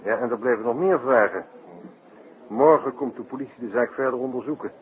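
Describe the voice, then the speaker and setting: A man's voice: mid-range voice, fairly quick, close to an everyday voice. An actor playing a jaunty, cheeky male sidekick in a 1960s radio drama, with breezy, mock-formal banter. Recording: slightly noisy, dull 1960s radio recording.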